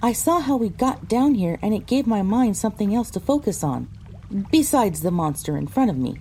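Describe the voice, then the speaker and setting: A woman playing a pony who narrates her own adventure in a measured, descriptive storytelling style. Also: mid-range voice, very animated, warm tone, slight background noise. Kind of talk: scripted first-person story narration.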